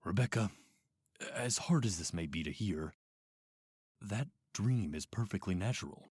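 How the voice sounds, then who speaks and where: Radio drama villain played by a man: middle-aged institute doctor, deep, rough-edged, clinical, calmly manipulative. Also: no room sound, studio quality, exaggerated voice. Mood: soothing. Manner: measured, clinical, coaxing. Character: calm, manipulative, sinister male doctor running an institute.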